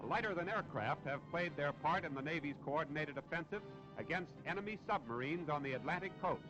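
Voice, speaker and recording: A vintage 1940s newsreel soundtrack with loud music behind the voice. An American man narrates in a high-pitched, declamatory voice, delivering scripted lines with dramatic, patriotic emphasis. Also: expressive, a little fast, dull audio.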